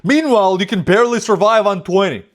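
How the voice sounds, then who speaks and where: A man's voice: sits high, fast. A man from Europe whose first language is not English, reacting to a video with rambling, swear-filled casual commentary. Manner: rambling, swears freely, trails off.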